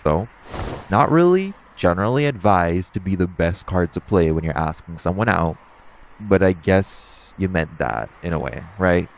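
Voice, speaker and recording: A flirty supervillain thief, acted by a man with a low-pitched voice. He talks straight to the listener in a casual, teasing audio-roleplay style. His delivery is self-assured yet conversational, broken by halting pauses. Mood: softening, playful. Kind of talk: intimate second-person audio roleplay, conversational acting.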